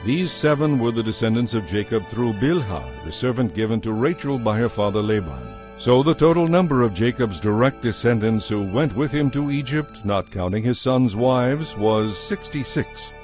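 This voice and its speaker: A man narrating scripture from a script in a measured, solemn manner. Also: mid-range voice, lively intonation, darkish tone. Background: music.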